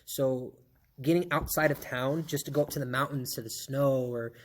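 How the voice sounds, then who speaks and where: A man's voice: mid-range voice, fairly even intonation, moderate pace, slight background noise. A man in his thirties hosting a casual podcast, easygoing and chatty, laughing easily and swearing casually. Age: 30s. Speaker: podcast host.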